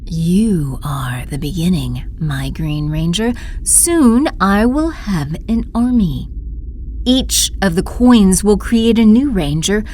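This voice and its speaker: A woman playing a scheming, imperious sorceress empress in an audio drama, with a breathy, menacing delivery. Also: fairly low pitch, mellow, slight background noise. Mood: gloating. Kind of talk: audio drama villain acting.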